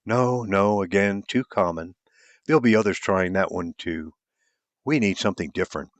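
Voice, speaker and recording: A man's voice: low-pitched, moderate pace, no room sound, close to an everyday voice. Man voicing an upbeat, teasing woman. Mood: insistent. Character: upbeat, teasing woman planning a karaoke duet.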